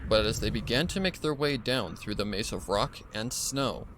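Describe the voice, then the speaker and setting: A man's voice: fairly low pitch, lively intonation, normal speed, a bit bright, a little hiss or hum. A man reading a scripted horror story as a dramatic narrator, with music behind him. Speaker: fan fiction narrator. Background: background music.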